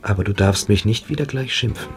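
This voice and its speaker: An actor playing a submissive, evasive boarding-school pupil in a German radio play, hesitant and coquettish. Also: male voice, fairly low pitch, brisk, over music, natural voice. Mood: hesitant, confiding.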